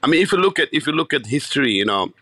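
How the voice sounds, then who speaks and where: A Bangladeshi chef in his fifties, telling his story in an interview, animated and full of restarts. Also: fairly high pitch, racing, no echo. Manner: animated, many restarts and repeats.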